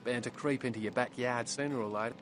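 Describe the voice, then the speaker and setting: A man's voice: medium pitch, steady tone, fast talker, music in the background, natural voice. A man playing a young surfer turned outcast vampire in a video game, wistful and bitter, with a folksy, plain-spoken manner. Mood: weary, bitter. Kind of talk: video game dialogue acting.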